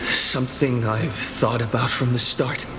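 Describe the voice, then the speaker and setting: A man's voice: mid-range voice, fairly even intonation, a little slow, close to an everyday voice. A man playing a grave, confrontational man in a video game, speaking in a solemn and pointed way. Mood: grave, probing. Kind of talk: video game character acting. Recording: echoey hall reverb under music.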